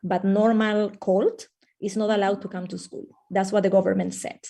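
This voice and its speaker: A woman from Peru who teaches early childhood, talking in a webinar conversation with many fillers and pauses over a video call. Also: mid-range voice, little pitch movement, vocal fry, mellow. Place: lives in the Netherlands.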